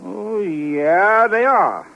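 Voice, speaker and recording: An actor playing a hard-of-hearing old ranch hand in a 1950s radio drama, folksy and protesting, with a drawl. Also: male voice, high-pitched, animated, extremely slow, creaky, lo-fi sound, slight background noise, full character voice. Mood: hazy, rambling.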